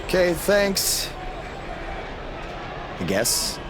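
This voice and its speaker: An actor playing an earnest young wrestler in a video game, with a plain, unassuming delivery. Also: male voice, high voice, sing-song, extremely slow, background noise, heavily acted. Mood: awkward, hesitant. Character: earnest, hesitant young male wrestler, everyman hero. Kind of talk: video game cutscene acting.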